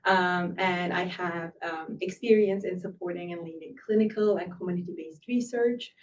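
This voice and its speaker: A woman's voice: lowish voice, steady tone, moderate pace, distant mic, a little hiss or hum, poor audio. A woman from Turkey, a clinical research coordinator presenting a webinar in a friendly way.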